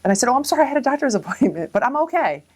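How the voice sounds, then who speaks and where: A woman's voice: high-pitched, very animated, very fast, a little mellow. A woman reflecting on personal experiences in an interview, informally, acting out bits of remembered speech. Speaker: local resident interviewee.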